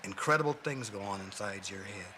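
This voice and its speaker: A man's voice: on the low side, fairly quick, music in the background. A man talking reflectively about baseball in an interview clip, repeating phrases for emphasis. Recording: archive clip with loud background noise.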